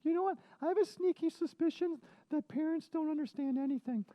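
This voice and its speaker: A man voicing a cheeky, excitable animal puppet sidekick with a scratchy, throaty voice, bantering in a children's read-aloud. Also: sits high, a little fast, pitched above the performer's own voice, close to an everyday voice. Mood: cheeky disbelief. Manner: cheeky, interjecting, exclamatory.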